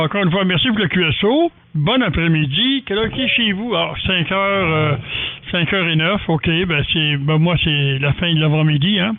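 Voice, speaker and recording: A man's voice: fairly high pitch, narrow, tinny audio. A man in his sixties with a Quebec French accent, chatting casually as an amateur radio operator. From Canada, Quebec. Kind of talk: casual ham radio chat. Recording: thin, dry band-limited radio-style audio.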